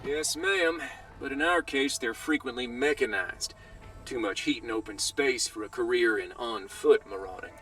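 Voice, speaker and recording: An actor playing a folksy bounty dispatcher calling in by radio in a video game, breezy and animated. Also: male voice, mid-range voice, moderate pace, distant mic, soft background music, poor audio. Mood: briskly warning.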